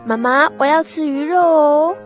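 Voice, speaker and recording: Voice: high voice, moderate pace, highs cut off, natural voice. A performer playing a whiny, stubborn little girl in a radio drama, childish and insistent. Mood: insistent, pouty. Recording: music behind the voice.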